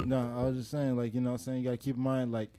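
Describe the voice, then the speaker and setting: A man's voice: medium pitch, level tone, very fast, warm tone. A man from Liberia co-hosting a podcast, chatting casually and rambling, with "you know what I mean" tossed in again and again. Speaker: podcast co-host.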